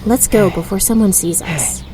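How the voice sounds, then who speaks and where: A woman acts out a spunky young female adventurer in an audio drama. She is bossy, firm and protective, with lively swings of pitch over faint background noise. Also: normal speed, lightly acted. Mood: firm, insistent. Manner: firm, assertive.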